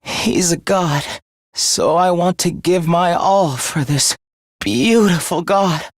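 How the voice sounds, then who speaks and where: A man's voice: high voice, slow pace, no room sound, clean studio sound. An actor voicing an obsessive young man in a video game, devoted to his comrade as to a god, with theatrical, intense, florid delivery. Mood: adoring, pleading. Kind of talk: English video game voice acting, dramatic monologue.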